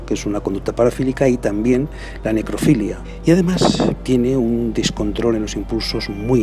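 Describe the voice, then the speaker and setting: A man's voice: lowish voice, dark tone. A man giving an expert forensic explanation, emphatic and piling up synonyms. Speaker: forensic psychiatrist, expert guest. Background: music.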